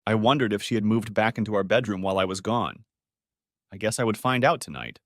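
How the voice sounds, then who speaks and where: A man's voice: lowish voice, animated, brisk, fairly bright tone, no echo, studio quality. A man narrating a scripted first-person story.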